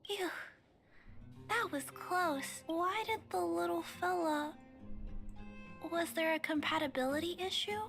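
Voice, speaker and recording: A woman voicing a shy, polite young girl in a game who speaks in a soft, earnest way. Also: very high voice, measured pace, gravelly, pauses often, faint music behind, heavily acted.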